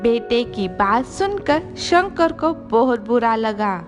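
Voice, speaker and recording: A woman narrates a scripted children's tale. Her voice is fairly high and her pace is unhurried. Music plays clearly behind her. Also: sing-song.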